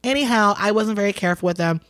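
A woman's voice: mid-range voice, quick pace, no echo, studio quality. A woman in her thirties, a guest on a podcast, chatting casually and telling playful, gossipy stories with quoted voices. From Boston, raised in Atlanta. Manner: animated storyteller who acts out quoted dialogue, playful and gossipy.

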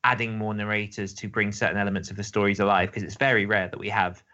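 A man with a lowish, hard-edged voice chats casually as a podcast co-host. He rambles and backs up to restate things. The recording sounds somewhat dull and band-limited. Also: little pitch movement, quick pace, pushed and bright. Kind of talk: casual discussion.

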